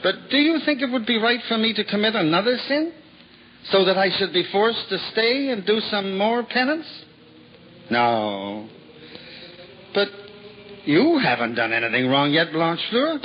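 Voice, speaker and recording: A man's voice: high voice, very animated, a little slow. A man in a 1950s radio drama playing a simple, humble traveling juggler who talks to himself in wonder. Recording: lo-fi old radio broadcast, faint hiss.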